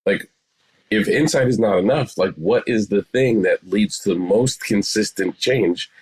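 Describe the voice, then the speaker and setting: A man in his forties with a low, slightly rough voice. He talks as a therapist being interviewed, conversational and emphatic, often repeating phrases to drive them home. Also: a little slow, darkish tone, low-quality recording. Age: 40s. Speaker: psychotherapist, podcast guest.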